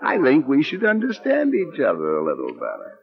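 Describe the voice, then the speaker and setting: A man's voice: medium pitch, huge pitch swings, a little fast, creaky, loud music behind the voice. A smug, oily man in an old radio melodrama, scheming and insistent. His voice rises and falls dramatically, and the vintage recording is muffled. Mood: oily, pushy. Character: smug, greedy, scheming playwright, pushy bridegroom. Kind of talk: 1940s radio drama acting.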